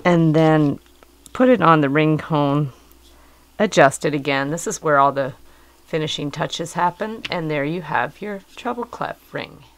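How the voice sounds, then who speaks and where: Hoarse middle-aged woman teaching a craft. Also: low voice, unhurried. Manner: calm, patient, explanatory. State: hoarse from a cold. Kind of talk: step-by-step craft instruction. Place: indoors at a work table.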